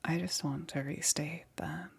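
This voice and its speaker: A lonely witch, acted by a woman, murmurs in a low, breathy voice with a gravelly fry at the edges. She talks slowly and haltingly, often slipping into a whisper. Her manner is soft and gently possessive, in the intimate style of an ASMR roleplay. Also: fairly even intonation, heavily acted. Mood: worried, coaxing.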